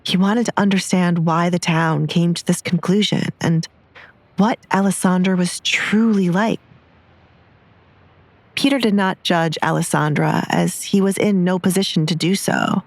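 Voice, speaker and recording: A woman narrates a scripted drama. Her pitch is on the low side, and the sound is dry, with no room on it. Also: fairly even intonation, a little slow, no room sound.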